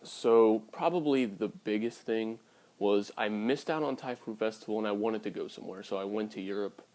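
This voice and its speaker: A man's voice: fairly low pitch, moderate pace, a little mellow. A man in his early twenties talking casually and candidly to his viewers in a blunt, sweary vlog style. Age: early 20s. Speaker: vlogger.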